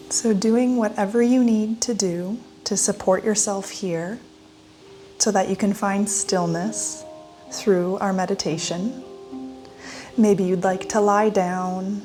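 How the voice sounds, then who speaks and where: A woman leading a guided meditation in a gentle, soothing manner with long pauses. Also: medium pitch. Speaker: meditation guide, yoga teacher. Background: soft instrumental music.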